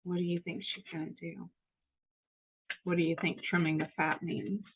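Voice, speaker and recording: A woman reading a picture book aloud to children, expressive and explanatory, pausing to ask questions. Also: on the low side, gravelly, husky. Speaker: children's story reader. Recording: muffled narrow phone-like audio.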